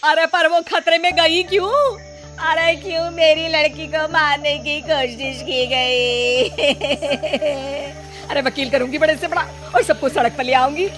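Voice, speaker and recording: Greedy middle-aged cartoon mother acted by a woman, melodramatic scolder and wheedler, raised pitch. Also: steady tone, slow pace, fairly bright tone. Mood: indignant. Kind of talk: cartoon story acting. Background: background music.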